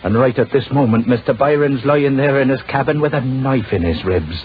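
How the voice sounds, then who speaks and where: An actor playing a deferential village police sergeant with a rustic working-class English accent, hesitant and plain-spoken, in a 1940s radio drama. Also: male voice, mid-range voice, normal speed, lightly acted. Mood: grave. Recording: noisy, dull old radio recording.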